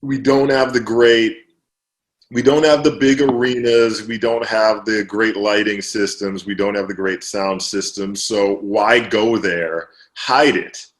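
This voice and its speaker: A man being interviewed as an author, explaining at length in relaxed conversation, with fillers and restarts. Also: fairly low pitch, measured pace.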